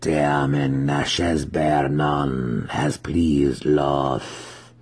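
Guy doing a low smooth demon creature, slow and mocking, husky dark tone. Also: flat delivery, heavily acted. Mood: mocking, calm. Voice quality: overly smooth, slow and deep. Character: calm, mocking otherworldly demon handmaiden.

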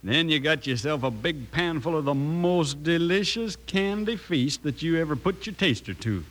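A man playing a folksy, enthusiastic cowboy pitchman with an American Western accent in an old radio commercial. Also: expressive, normal speed, fairly bright tone.

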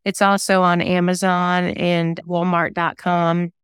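Woman songwriter chatting in an interview, super clean smooth voice, slightly low. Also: little pitch movement, a little slow, no echo, studio quality. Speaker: singer-songwriter guest. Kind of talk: casual interview chat.

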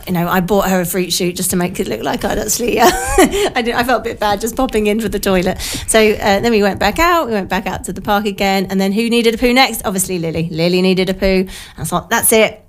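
Radio host: British woman in middle age, informal and chatty, self-deprecating, voice croaky and bunged up with a cold. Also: mid-range voice, a little fast. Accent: British English. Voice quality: croaky, slightly nasal from a blocked nose. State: has a cold. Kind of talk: casual chatty radio monologue. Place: radio studio.

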